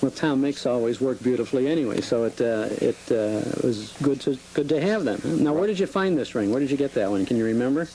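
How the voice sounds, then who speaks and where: A man's voice: mid-range voice, animated, quick pace, hardly any pauses, warm tone, poor audio. A man hosting a television chat, asking questions in a chatty, jokey way. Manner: chatty, jokey, laughs easily. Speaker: TV show host. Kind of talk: casual interview chat. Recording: old 1980s cable TV audio with hiss.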